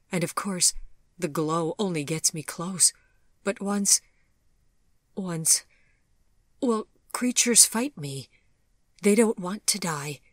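A woman's voice: on the low side, no echo, clean studio sound. A woman voicing a remorseful, tormented male monster lover in an audiobook, pleading and intense.

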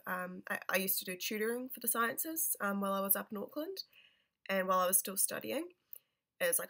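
Brief: twenties New nurse vlogger, stuffy and nasal, gravelly edges, casual and halting. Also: female voice, medium pitch, sharp tone. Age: 20s. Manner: rambling, many ums and restarts. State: nasal from a cold. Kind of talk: casual advice vlog. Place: small bedroom, indoors. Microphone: webcam at close range.

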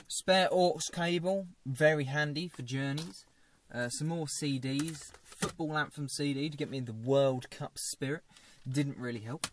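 A man's voice: on the high side, slight background noise. A young man, seated in a parked car, shows what he keeps in it to a camera on the dashboard and jokes dryly about it. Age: young adult. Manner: dry, self-mocking, chatty. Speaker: vlogger. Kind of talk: casual car tour vlog. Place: parked small car, indoors. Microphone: fixed dashboard camera.

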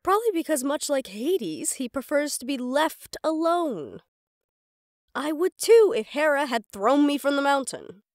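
A woman's voice: high voice, very animated, a little slow, dry recording, clean studio sound. A woman playing a stubborn, sarcastic young goddess in an audio roleplay drama, teasing and defiant.

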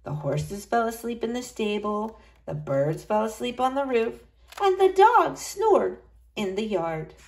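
A woman's voice: fairly high pitch, sing-song, unhurried, a little mellow. A woman reading a storybook aloud to young children, expressively, in the manner of a school librarian.

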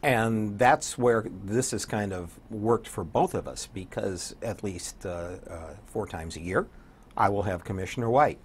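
Hoarse older American man, low voice. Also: unhurried. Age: 60s or older. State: hoarse, getting over an illness. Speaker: community radio program director and morning DJ, guest. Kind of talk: conversational interview. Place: small indoor TV studio.

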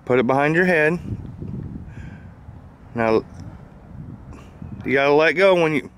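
A man's voice: fairly high pitch, slow, fairly bright tone, a little hiss or hum. Patient dad coaching his little girl. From Southern Illinois. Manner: patient, step-by-step coaching of a child. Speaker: father filming a toy review. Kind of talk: casual home video instruction.

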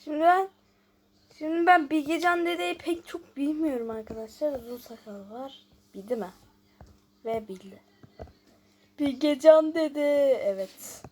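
A woman's voice: high-pitched, unhurried, pauses often, darkish tone. A woman hosting a casual gameplay video, chatting and thinking aloud as she reads out questions and answers them. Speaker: YouTube host.

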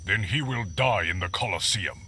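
An actor playing a stern, menacing otherworldly warrior in a fighting game, speaking in a grave, formal, commanding way. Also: male voice, low-pitched, moderate pace, sharp tone, slight background noise. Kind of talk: video game fight banter acting.

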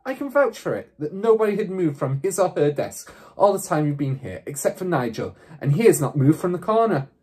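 A man voicing a calm, reasonable female schoolteacher in a storybook, speaking in a measured, polite and steady way. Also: a little high, breathy edge, voice pushed up. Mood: calm firm. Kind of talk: storybook character reading.

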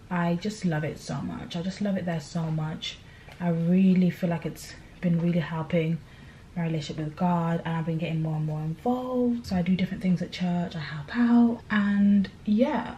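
A woman's voice: fairly low pitch, mellow, slight background noise, rough recording. A young woman in her twenties, originally from Tanzania, chatting casually to camera at home. She rambles and confides, often asking "do you know what I mean". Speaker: vlogger. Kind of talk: casual chat to camera while doing her hair. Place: small indoor room at home.

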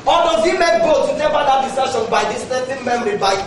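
A Nigerian-accented man on stage playing a pompous, hard-drinking deserted husband who declaims slowly like a self-styled prophet. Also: very high voice, expressive. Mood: indignant, grandiose. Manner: declamatory, slow, oratorical. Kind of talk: stage play acting. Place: large bare indoor stage. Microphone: far camera. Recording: echoey hall, distant camera mic, noisy audience.

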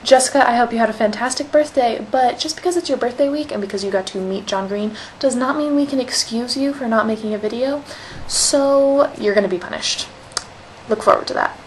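A woman's voice: on the high side, brisk. A young woman chatting casually to camera as a vlogger, with a wry, emphatic way of talking. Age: young adult. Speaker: vlogger in a collaborative channel. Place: small indoor room at home. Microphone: webcam or laptop mic at desk distance. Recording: noisy webcam audio with room ambience.

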